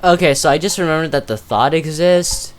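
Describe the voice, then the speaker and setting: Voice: mid-range voice, a little fast. Casual, rambling commentator over guitar music. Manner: hesitant, thinking aloud, informal slang. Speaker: video creator presenting a character ranking. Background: music, plucked guitar.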